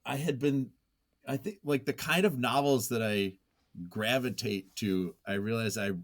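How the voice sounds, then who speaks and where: A man's voice: medium pitch, unhurried. A man talking casually and reflectively as an interview guest, hesitant and self-effacing as he thinks aloud. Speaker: podcast guest, nonfiction writer.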